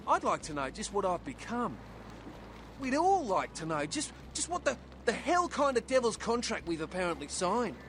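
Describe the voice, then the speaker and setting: A man's voice: sits high, a bit bright, slight background noise. A man playing a young surfer turned outcast vampire in a video game, wistful and bitter, with a folksy, plain-spoken manner. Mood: frustrated. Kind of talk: video game dialogue acting.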